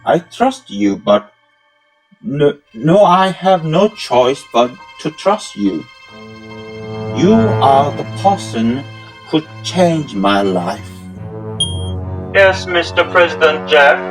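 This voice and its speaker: A man's voice: fairly high pitch, very animated, slow pace, pauses often. A man acting out a scripted amateur audio drama in formal, stilted English with non-native phrasing. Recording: music under the voice. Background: instrumental music.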